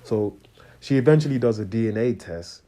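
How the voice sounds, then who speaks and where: A young man tells a personal story in an animated, slang-heavy rant. His voice has a mellow, dark tone. He keeps checking in with the listener as he talks. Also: lowish voice, normal speed. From Congo. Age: young adult. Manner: slangy, animated, repeats "you know what I'm trying to say". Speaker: vlogger.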